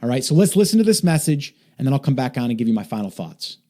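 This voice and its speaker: A man's voice: mid-range voice, sing-song, quick pace, dark tone. A man hosting a solo podcast, talking earnestly and encouragingly to listeners, often repeating himself for emphasis. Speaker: podcast host.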